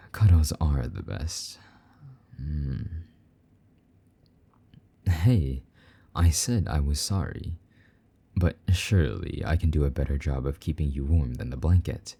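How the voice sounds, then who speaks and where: A man's voice: rumbling low, creaky, mellow, slightly rough, heavily acted. A man playing a possessive, teasing demon lover in an intimate roleplay monologue, taunting and doting by turns. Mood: teasing, affectionate, then tender, soothing.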